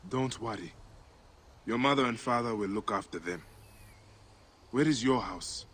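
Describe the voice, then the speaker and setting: An actor playing a stern, resolute Egyptian warrior in a video game cutscene, speaking in a measured, grave way. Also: male voice, mid-range voice, fairly bright tone. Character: Bayek, stern male Egyptian Medjay warrior. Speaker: protagonist.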